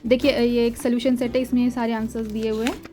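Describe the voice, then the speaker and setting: A young woman teaches German from a textbook, patient and repetitive, mixing Hindi with English. Her voice sits a little high. Soft music plays faintly behind her. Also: flat delivery. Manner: patient, repeats words slowly, mixes Hindi and English. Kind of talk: explaining textbook exercises.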